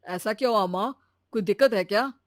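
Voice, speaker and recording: Cartoon adult son , female performer: mid-pitched, calm, supportive, gently reasoning. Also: brisk, no room sound, studio quality, lightly acted. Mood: concerned. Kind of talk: animated moral story dialogue.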